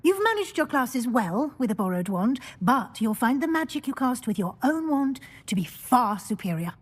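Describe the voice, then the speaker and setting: Stern professor, highish voice. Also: female voice, sing-song, normal speed, husky. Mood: brisk, stern. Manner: formal, authoritative. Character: stern, brisk older female wizard-school professor. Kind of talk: video game acting.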